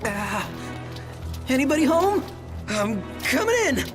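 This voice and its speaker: Nervous young guy, very animated intonation, chatty, excitable, video game banter, background music. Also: very high voice, slow pace, heavily acted. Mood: jumpy. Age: young adult. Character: jittery, chatty young man, cheeky scaredy-cat adventurer. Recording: music under the voice.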